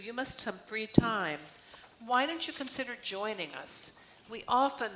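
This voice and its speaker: A woman's voice: medium pitch, a bit bright, lightly acted, low-quality recording. An older woman reading the part of an earnest, explanatory legal clinic volunteer in an amateur play. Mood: friendly persuasion.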